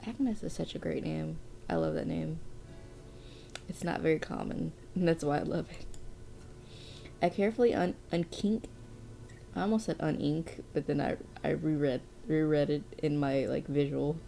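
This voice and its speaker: A woman's voice: low-pitched, steady tone, normal speed, gravelly, dark tone, slight background noise. A young adult woman casually commentating a game, rambling and laughing often, a bit sniffly from allergies. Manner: rambling, laughs often, restarts her words. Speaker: game streamer. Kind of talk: casual let's play commentary.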